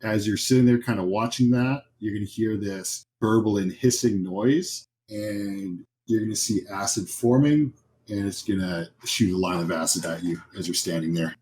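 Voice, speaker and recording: A man running a horror tabletop game, describing scenes informally and haltingly as game master. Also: fairly low pitch, darkish tone, a little hiss or hum.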